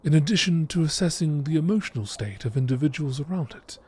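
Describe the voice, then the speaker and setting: Husky man reading documentary narration. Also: a little high, animated, a little mellow. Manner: measured, informative reading. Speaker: reader of video game text. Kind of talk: scripted nature documentary narration.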